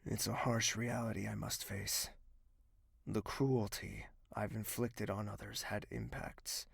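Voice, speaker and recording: An actor playing a remorseful, lovesick young nobleman in an audio drama, with a grave, earnest delivery and a gravelly voice. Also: fairly low pitch, flat delivery, unhurried, no echo, clean studio sound, exaggerated voice. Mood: somber, guilty.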